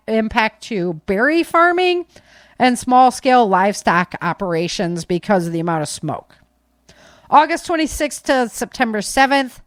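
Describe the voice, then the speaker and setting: A woman's voice: a little high, dry recording. A woman podcast host casually reading through disaster news, with informal, chatty asides to listeners.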